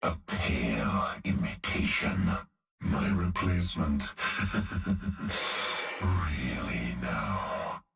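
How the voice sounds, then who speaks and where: Menacing cyborg executioner, extremely deep gravelly growl, slow and heavy, roomy distant game audio with music. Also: male voice, animated, exaggerated voice. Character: hulking brutal male cyborg executioner, menacing.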